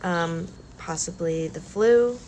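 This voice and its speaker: A woman vlogger with a cold and a slightly stuffy nose, talking softly and hesitantly with many pauses during a calm art demonstration. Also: lowish voice, a little hiss or hum, poor audio. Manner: slow, soft-spoken, hesitant with many ums. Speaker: collage artist vlogger.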